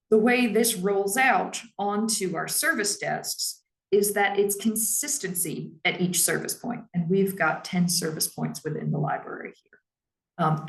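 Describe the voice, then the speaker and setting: A woman who heads a public library, giving a measured, explanatory presentation on staff training in her naturally lower voice. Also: vocal fry, echoey room, low-quality recording. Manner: measured and explanatory, with frequent "um" and "now".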